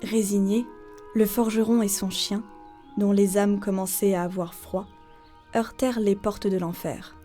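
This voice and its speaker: A woman's voice: mid-range voice, fairly even intonation, measured pace, mellow, soft background music. A woman narrating a literary folk tale as a scripted storyteller.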